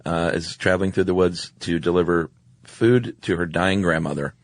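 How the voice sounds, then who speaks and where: Low-voiced wry man telling stories. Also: steady tone, moderate pace, no echo, studio quality. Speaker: podcast co-host. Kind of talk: casual conversational storytelling.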